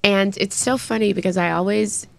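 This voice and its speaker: A woman in her forties from Texas, a podcast guest chatting in a bubbly, animated way in a small studio on a desk mic. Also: medium pitch, normal speed, creaky. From Texas, USA. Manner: bubbly, animated, laughing often. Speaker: podcast guest, brand founder. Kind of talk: casual interview chat.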